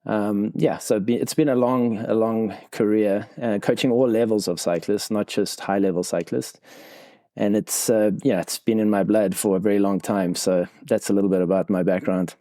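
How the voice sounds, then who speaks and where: A middle-aged man from South Africa talks cycling coaching in a podcast interview, rambling with frequent uhs. His voice sits low and mellow. The sound is dry and close, with no room around it. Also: steady tone, normal speed, dry recording. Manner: rambling storytelling with many filler ums and uhs.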